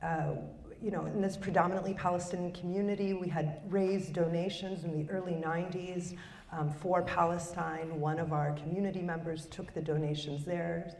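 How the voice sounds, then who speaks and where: Low raspy woman from chicago, thoughtful, lots of ums, far from the mic. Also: fairly even intonation, normal speed, a little mellow. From Chicago, United States. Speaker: filmmaker and former public radio journalist. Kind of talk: panel discussion. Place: conference hall. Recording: roomy hall, mic far off, faint noise.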